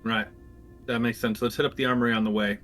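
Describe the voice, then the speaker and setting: A man playing a tabletop roleplaying game, talking briskly and decisively with a joking edge. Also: medium pitch, little pitch movement, fairly bright tone, soft background music. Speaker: player.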